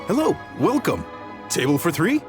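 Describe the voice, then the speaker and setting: Hearty, animated game chef. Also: male voice, fairly high pitch, normal speed. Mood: welcoming. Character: jovial, proud male restaurant chef, hospitable, shrewd. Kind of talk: video game character acting. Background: game music.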